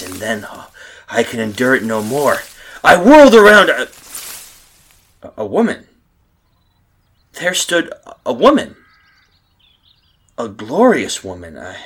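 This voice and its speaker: An actor playing a frightened, bewildered man stranded in a nightmare world, narrating in halting, stammering old-time radio horror style. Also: medium pitch, fairly bright tone, slightly rough, full character voice. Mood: panicked, horrified.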